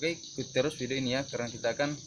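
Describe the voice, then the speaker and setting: A man presenting an informal demonstration vlog, explaining in a halting way. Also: mid-range voice, fairly even intonation. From North Buton, Southeast Sulawesi, Indonesia. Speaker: herbal remedy video presenter. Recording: noisy outdoor audio with insects and birds.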